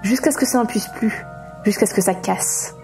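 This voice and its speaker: An actress playing an eager office employee who theatrically hosts horror stories in an audio comedy. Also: female voice, mid-range voice, normal speed, a little mellow, faint music behind, natural voice. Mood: ominous, theatrical. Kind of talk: amateur audio comedy drama.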